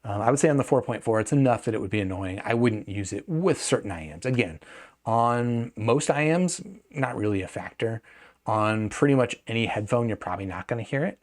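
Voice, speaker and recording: A man's voice: medium pitch, lively intonation, brisk. A man giving a casual, unscripted product review as a host, thinking aloud, hedging and correcting himself as he goes. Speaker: host of a gadget review channel.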